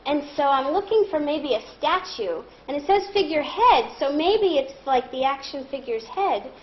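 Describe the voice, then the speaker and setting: A woman speaks in a high, very animated voice, guiding pupils through a reading lesson. She is encouraging and instructive, prompting them with questions. The sound is echoey and somewhat dull, as in a classroom. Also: normal speed. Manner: encouraging, instructive, thinking aloud. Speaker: elementary school teacher. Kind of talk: interactive read-aloud lesson, questioning pupils.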